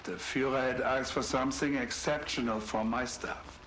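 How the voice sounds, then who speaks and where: An actor playing a cold, proud scientist villain, deliberate and ominous, in a game cutscene. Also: male voice, medium pitch, steady tone, fairly bright tone, soft background music, lightly acted.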